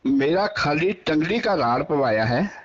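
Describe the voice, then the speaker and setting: A dull phone-call recording of a man with a distinctly high-pitched voice. He talks informally in halting bursts, doubling back on his words. His way of speaking is pleading, as if asking to be heard. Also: lively intonation. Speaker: person being questioned about a dispute. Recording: muffled, band-limited phone call recording.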